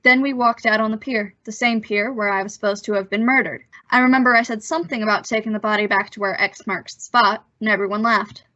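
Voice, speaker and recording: A woman's voice: mid-range voice, fairly even intonation, quick pace, few pauses, bright, forceful tone, close to an everyday voice. A woman playing a nervous, evasive young man with a hesitant manner in an old-time radio suspense drama. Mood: recounting. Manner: hesitant, stammering under pressure. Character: nervous young man, evasive impostor telling his story. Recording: dull, lo-fi audio.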